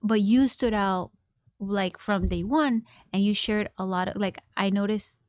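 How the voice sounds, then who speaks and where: Clear, smooth-voiced female podcast host. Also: medium pitch, measured pace, no echo, studio quality. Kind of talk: conversational podcast interview.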